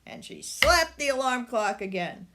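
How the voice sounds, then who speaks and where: An older woman reading a picture book aloud to children, expressive and speaking directly to her young listeners. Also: a little high, unhurried.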